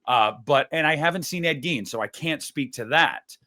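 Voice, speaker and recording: A man's voice: a little high, animated, moderate pace, bright, forceful tone. A man on a podcast panel giving blunt, emphatic opinions in casual debate, acting out imagined quotes as he argues.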